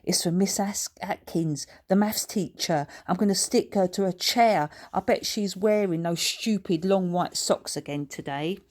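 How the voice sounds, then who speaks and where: A woman's voice: lowish voice, unhurried, few pauses, a little mellow. A woman voicing a mischievous, scornful schoolboy in a brash, mocking way for a children's story. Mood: scheming.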